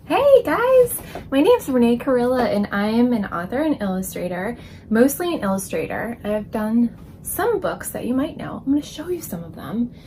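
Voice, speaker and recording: A woman's voice: fairly high pitch, big pitch swings, normal speed, dark tone, a little hiss or hum. A woman, chatting casually to camera as a children's illustrator. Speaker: children's book illustrator and author. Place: indoor room full of books.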